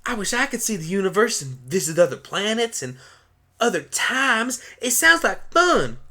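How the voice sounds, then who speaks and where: A woman voicing an eager, excitable young farm pony with a folksy way of talking in a fan radio play. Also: mid-range voice, normal speed, voice pushed up, exaggerated voice. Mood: excited wonder.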